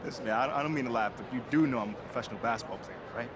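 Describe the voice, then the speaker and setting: A man's voice: medium pitch, racing, close to an everyday voice. A man playing a laid-back, teasing young pro basketball player in casual, slangy game cutscene dialogue. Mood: amused, relaxed. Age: young adult. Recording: clear outdoor urban background noise.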